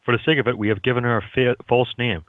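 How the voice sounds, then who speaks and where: A man's voice: medium pitch, steady tone, fast talker, no room sound, narrow, tinny audio, lightly acted. Man as a grave mock-documentary narrator. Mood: mock solemn. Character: solemn narrator of a spoof scare documentary.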